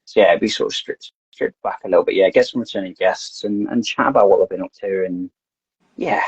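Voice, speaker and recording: A man's voice: low-pitched, fairly quick, slightly rough, no echo. A man guest on a podcast, chatting casually and rambling, often repeating words as he gets his thoughts out. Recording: dull lo-fi dry sound.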